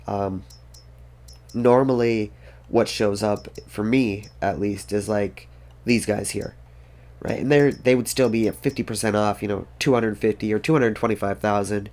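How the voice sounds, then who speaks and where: A man's voice: fairly low pitch, fairly even intonation, normal speed, a little mellow. A congested, sniffly man giving rambling, casual commentary while playing a farming game, thinking aloud to himself and his chat. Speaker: gaming streamer.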